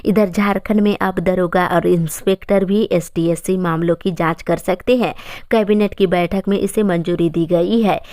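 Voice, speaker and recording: A woman's voice: on the low side, fairly even intonation, normal speed, darkish tone, no room sound. Woman reading news, very dry sound. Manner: formal, headline-by-headline reading. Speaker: news presenter. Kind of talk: scripted news bulletin narration.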